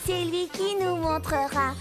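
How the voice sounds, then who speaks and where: A woman's voice: very high-pitched, unhurried. A woman performing a playful, childlike singer in a sing-song children's cartoon number. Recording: music behind the voice.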